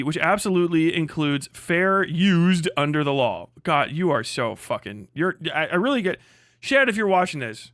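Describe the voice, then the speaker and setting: A man's voice: fairly high pitch, a bit bright, no room sound, clean studio sound. A man from the United States giving off-the-cuff livestream commentary in a blunt, emphatic, swear-heavy way, repeating phrases to drive points home. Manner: blunt, heavily profane, emphatic.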